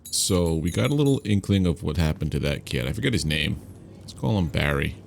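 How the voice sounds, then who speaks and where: A man's voice: rumbling low, animated, brisk, creaky, slight background noise. A man hosting a casual let's-play, offhand and wry as he comments and reads out dialogue choices. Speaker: gaming video host.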